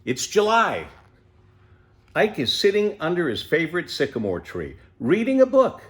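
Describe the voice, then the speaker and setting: A man reading a children's storybook as an unhurried, contented eighty-year-old pet tortoise who savours everything with wonder. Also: on the high side, wildly swooping pitch, a bit bright, pitched above the performer's own voice. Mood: serene, savouring. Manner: savouring, leisurely, full of wonder.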